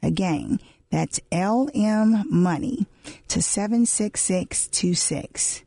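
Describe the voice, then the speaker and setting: A woman's voice: medium pitch, slow pace, creaky, warm tone, no echo. A woman in her forties co-hosting a radio talk show, emphatic, repeating key words and laughing often. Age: 40s. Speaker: radio co-host. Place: radio studio.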